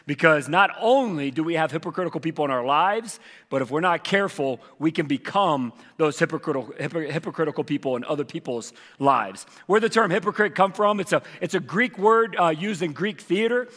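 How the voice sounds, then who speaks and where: A man preaching as lead pastor, hoarse from losing his voice, talking casually with many fillers. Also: on the high side, lively intonation, brisk, a bit bright, big-room sound. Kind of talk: sermon with announcements. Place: church auditorium.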